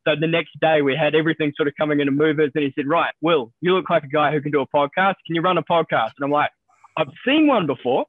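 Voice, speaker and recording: Brief: upbeat New Zealand-accented broker, a bit high-voiced, tinny phone-line sound. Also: male voice, no echo. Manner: quick, upbeat, repeats words when starting sentences. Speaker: guest, stockbroker. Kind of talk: casual interview talk.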